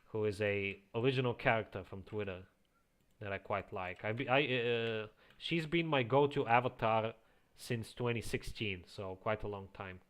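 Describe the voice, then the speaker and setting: A man with a slight Eastern European accent, chatting casually as a streamer, reading chat aloud and chuckling often into a quiet home microphone. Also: lowish voice, normal speed, clear, resonant tone. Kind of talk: casual live-stream chat while playing.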